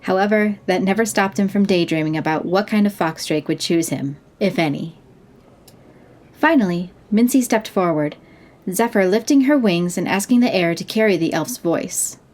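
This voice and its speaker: A woman's voice: mid-range voice, normal speed, a little mellow. A woman reading scripted narration for a fantasy audio story.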